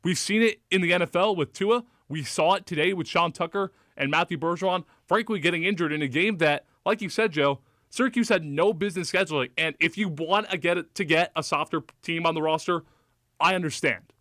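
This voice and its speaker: A man's voice: fairly high pitch, brisk, sharp tone, no echo. A man hosting a sports talk radio show, speaking into a studio mic with a polished, emphatic delivery. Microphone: close studio microphone.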